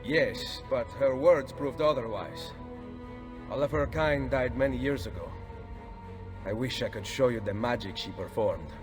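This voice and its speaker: An actor playing a composed, confident Italian nobleman assassin with an Italian accent in a video game, speaking in a measured, formal way. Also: medium pitch, close to an everyday voice. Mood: solemn. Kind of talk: dramatic video game acting. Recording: music behind the voice.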